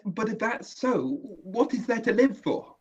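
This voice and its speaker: A weary survivor wandering a ruined countryside, voiced by a man, slow and measured in narration and hesitant when he speaks to others. His voice is husky and a touch high for a man. The recording sounds muffled and lo-fi. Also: sing-song, full character voice. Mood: uneasy. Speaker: narrator and main character.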